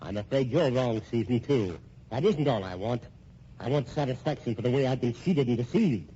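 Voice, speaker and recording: A man's voice: mid-range voice, animated, normal speed, dark tone, lightly acted. A man playing a vengeful, gloating schemer in an old-time radio thriller, theatrical, menacing and taunting. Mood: coldly menacing. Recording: dull, noisy old radio recording.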